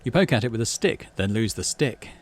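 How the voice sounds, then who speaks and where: A man, middle-aged, hosting a game playthrough, mixing casual commentary with expressive story reading. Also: medium pitch, fairly quick. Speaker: let's play host.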